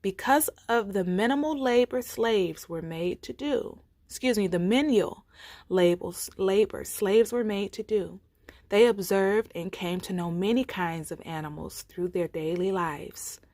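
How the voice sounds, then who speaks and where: A woman reading folktales aloud for children as a storyteller, with deliberate, theatrical phrasing. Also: mid-range voice, darkish tone, dry recording, clean studio sound. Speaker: storytime reader.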